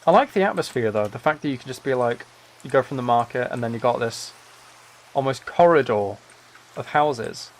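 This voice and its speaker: A soft-spoken man livestreaming, chatting in a relaxed way and musing aloud as he plays a game. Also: medium pitch, normal speed, slight background noise. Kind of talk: relaxed chat while playing a building game.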